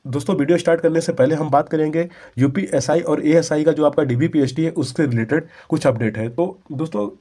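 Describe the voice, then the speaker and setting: A man's voice: on the high side, fairly quick, dark tone. A man with a North Indian Hindi accent giving informational updates as a channel host, explaining step by step.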